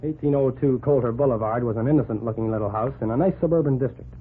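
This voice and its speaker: An actor playing a brash, eager newspaper reporter with breezy slang, in 1940s radio drama style. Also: male voice, mid-range voice, quick pace, husky, natural voice. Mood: narrating. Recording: noisy, phone-like 1940s radio recording.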